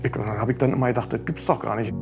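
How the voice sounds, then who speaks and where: A man's voice: medium pitch, steady tone, racing. A man giving a spontaneous documentary interview as a relative, colloquial, often adding little tag questions. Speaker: interviewee, son of a crime victim. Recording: faint music bed under interview.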